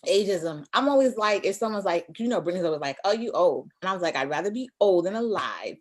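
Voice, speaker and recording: A woman in her thirties hosting a casual chat, talkative and teasing, with quick side notes. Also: fairly low pitch, lively intonation. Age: 30s. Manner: chatty, teasing, quick asides. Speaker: host.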